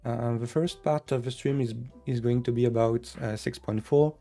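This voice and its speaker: Relaxed man hosting a dev stream. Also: medium pitch, moderate pace, soft background music. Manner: relaxed, filler-heavy, occasional laughs. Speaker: game developer and stream host. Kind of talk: casual dev stream chat.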